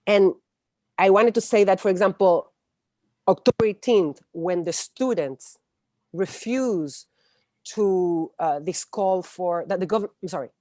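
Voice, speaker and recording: A woman's voice: fairly low pitch, measured pace, mellow, dry recording. A woman in her forties with a Chilean accent and Spanish as her first language, explaining things in an interview and often searching for words. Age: 40s. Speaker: interview guest. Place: indoors, room with bookshelves, on a video call. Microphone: wired earphones on a video call.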